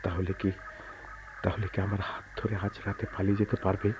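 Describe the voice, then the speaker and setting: An actor playing a brooding, bitter, lovelorn man in a Bengali radio drama, speaking in a measured, melancholy, literary way. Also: lowish voice, flat delivery, natural voice. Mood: yearning, probing. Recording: dull lo-fi radio recording with noise.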